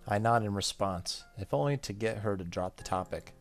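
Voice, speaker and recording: A man with a low voice narrates a visual novel as a gaming YouTuber. He moves between reading the text and casual asides, with faint music underneath. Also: moderate pace, vocal fry. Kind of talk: let's play, reading game text aloud with casual comments. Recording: faint game music behind voice.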